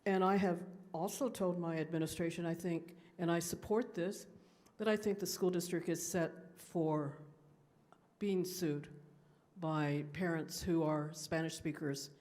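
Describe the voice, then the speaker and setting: Audience member, female teacher, lower voice, earnest and measured. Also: steady tone, a little mellow. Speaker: teacher asking a question. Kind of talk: audience question at a panel.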